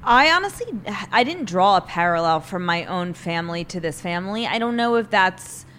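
A woman's voice: fairly low pitch, expressive, moderate pace, fairly bright tone, slight background noise. A woman co-hosting a book podcast, talking in a rambling, hesitant way full of fillers. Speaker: podcast co-host. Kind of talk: casual chat.

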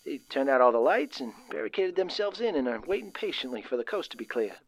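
An actor playing a nervous, kindly young factory worker in a 1930s-style radio play, with a halting, bashful delivery. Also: male voice, on the high side, big pitch swings, low-quality recording. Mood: reassuring, hesitant.

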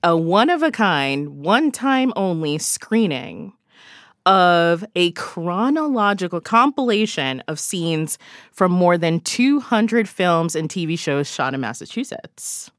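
Brief: female guest, lowish voice, animated, dry close sound, chatty. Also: slow, dry recording. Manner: chatty, says "like" and "you know" a lot, laughs often. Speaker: guest events recommender. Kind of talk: casual chat.

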